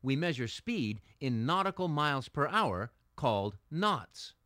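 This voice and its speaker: An American man reading scripted narration for an educational video, explaining things clearly in measured phrases. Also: medium pitch, big pitch swings, sharp tone, dry recording, clean studio sound.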